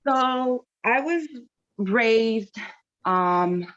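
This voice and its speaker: A woman's voice: a little high, drawn-out words, fairly bright tone, lo-fi sound. A woman in her thirties, from California, talking casually as a parent on a panel, rambling and correcting herself as she goes. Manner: chatty, rambling, self-correcting. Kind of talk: casual video-call talk. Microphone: video call.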